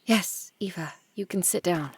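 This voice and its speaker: A woman's voice: fairly low pitch, a little slow, a little hiss or hum. A woman playing a guarded, blunt adult daughter of a wealthy family in an audio drama, with a dry, curt way of talking. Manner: dry, curt, bossy with siblings.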